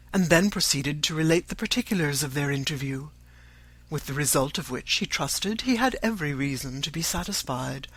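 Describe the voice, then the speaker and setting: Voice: mid-range voice, fairly bright tone, breathy edge, no echo. Audiobook narrator with very dry sound. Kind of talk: scripted literary narration.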